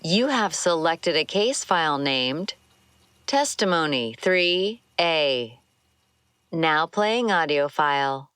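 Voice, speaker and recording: A woman voicing an impersonal automated prison archive announcer, formal and measured, in a science fiction audio drama. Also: low-pitched, lively intonation, a bit bright, clean tone, full character voice.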